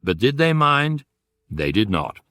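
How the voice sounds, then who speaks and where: An actor playing a wry guidebook narrator in a radio comedy, measured and deadpan in his storytelling. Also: medium pitch, a little hiss or hum.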